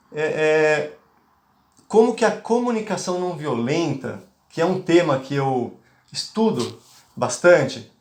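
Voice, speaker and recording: Man from Bahia, conflict mediator giving a talk; halting with restarts, echoey room sound. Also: fairly high pitch. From Bahia, Brazil. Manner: hesitant, many fillers and restarts. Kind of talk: online lecture to teachers.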